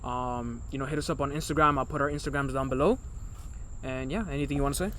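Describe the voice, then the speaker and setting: A man's voice: medium pitch, quick pace, fairly bright tone, a little hiss or hum. A man in his early twenties from Miami, co-hosting a podcast in loose, rambling casual chat that keeps calling out to the viewers. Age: early 20s. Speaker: podcast co-host.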